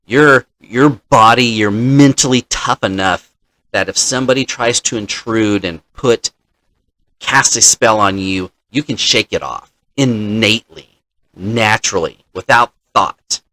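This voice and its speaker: A man's voice: on the low side, unhurried, bright, forceful tone, no echo, rough recording. A man hosting as a dungeon master, telling the story of a game session in an explanatory way and acting out players' lines.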